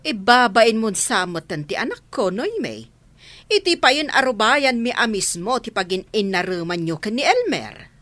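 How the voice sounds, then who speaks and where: Meddling mother-in-law for radio drama, played by a woman: bright, forceful voice with swooping pitch, scolding. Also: big pitch swings, moderate pace, faint music behind. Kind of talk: Ilocano radio drama acting.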